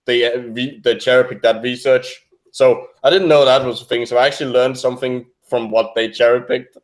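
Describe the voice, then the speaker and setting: Halting non-native English-speaking man. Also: mid-range voice, fairly even intonation. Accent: non-native English mixing American and British. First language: not English. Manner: hesitant, halting, searching for words. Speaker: podcast co-host. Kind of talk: casual science debunking chat.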